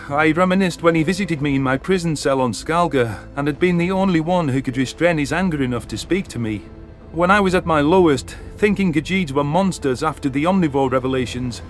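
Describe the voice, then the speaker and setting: A man narrating a science fiction story in the first person with dramatic delivery. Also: fairly high pitch. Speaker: audiobook narrator. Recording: narration over background music.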